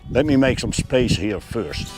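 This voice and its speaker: A man's voice: mid-range voice, animated, unhurried, loud music behind the voice. A man presenting a narrated tour of a motorhome as a vlogger.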